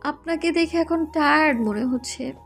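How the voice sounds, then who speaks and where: Girl playing shy young wife, really high soft voice, polite, romantic audio drama, faint music. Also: moderate pace. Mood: polite, caring.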